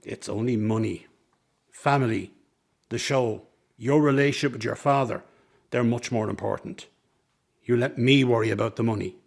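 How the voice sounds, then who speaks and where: An actor plays a sly circus man in a radio play, a smooth talker with a medium-pitched voice. He coaxes and persuades, ingratiating himself as he schemes. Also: moderate pace, long pauses. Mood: persuasive, scheming. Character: smooth-talking, scheming circus man, mother's old flame. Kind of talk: dramatic radio play dialogue.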